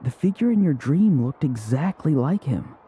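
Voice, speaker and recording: Mellow, grave young warrior. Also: male voice, fairly high pitch, sing-song, faint music behind. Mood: serious, puzzled. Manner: measured, serious. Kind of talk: English video game character acting.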